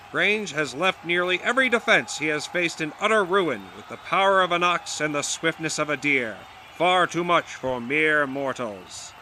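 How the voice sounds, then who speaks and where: An actor playing a grandiose 1920s radio football announcer, delivering florid, rhetorical commentary in an audio drama. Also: male voice, on the high side, sharp tone, slight background noise.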